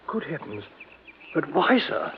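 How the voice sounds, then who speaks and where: An actor playing a timid, fretful butler in an old radio drama. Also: male voice, a little high, big pitch swings, measured pace, slightly rough, exaggerated voice. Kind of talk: 1940s radio acting. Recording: dull old radio broadcast.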